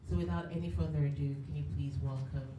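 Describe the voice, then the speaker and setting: Low-voiced woman emceeing in an echoey hall. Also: fairly even intonation, fast. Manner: upbeat, casual, uses slang. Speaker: event emcee. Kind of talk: introducing speakers. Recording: distant, echoey room with noise.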